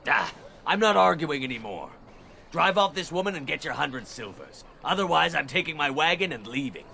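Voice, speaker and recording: Gravelly grumbling merchant. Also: male voice, on the high side, very animated, moderate pace, bright, forceful tone, slight background noise, full character voice. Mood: grudging, irritated. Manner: grumbling, curt. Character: grudging, self-interested male village merchant. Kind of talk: video game acting. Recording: music behind voice.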